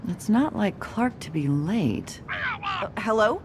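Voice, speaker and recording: An actress playing a brisk, no-nonsense adult newspaper reporter in a video game. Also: female voice, mid-range voice, huge pitch swings, background noise. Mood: businesslike.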